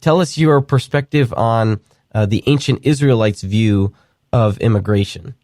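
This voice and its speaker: A man's voice: on the low side, a little slow, a little mellow, no room sound, studio quality. A man hosting a talk podcast, speaking casually with many fillers and restarts. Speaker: podcast host. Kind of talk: casual talk show commentary.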